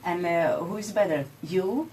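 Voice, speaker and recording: A woman's voice: on the low side, measured pace, a little mellow. A woman interviewing a guest in English, hesitating with many fillers. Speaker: radio interviewer. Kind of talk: radio interview. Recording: distant mic with faint background noise.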